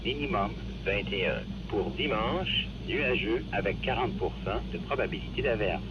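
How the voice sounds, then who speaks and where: A man reading a scripted weather bulletin in a measured, formal way with clipped phrasing, heard through a portable radio. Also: fairly low pitch. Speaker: weather forecast announcer. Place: radio broadcast played through a portable receiver. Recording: dull, very noisy weather radio reception.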